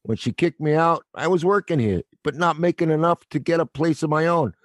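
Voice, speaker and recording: An actor playing a middle-aged male theater night watchman who is gruff but kind, with a wry, world-weary, fatherly way of talking. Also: fairly high pitch, a little fast, no echo, clean studio sound, lightly acted. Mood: confiding. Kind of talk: audio play acting.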